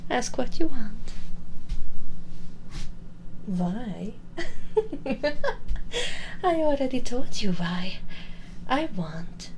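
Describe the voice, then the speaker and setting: A woman playing a sadistic, vengeful, centuries-old vampire in an intimate ASMR roleplay, taunting condescendingly in breathy half-whispers on a close binaural mic. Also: on the high side, big pitch swings, very slow, long pauses, a little mellow, slight background noise, heavily acted. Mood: cold, vengeful. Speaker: audio roleplay performer. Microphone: close binaural microphone.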